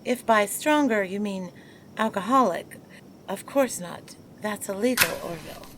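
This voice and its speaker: A woman's voice: medium pitch, a little slow, background noise, lightly acted. An actress playing a proper, married 1920s woman who defends herself and scolds her former lover. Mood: chatty. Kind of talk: 1920s period audio drama.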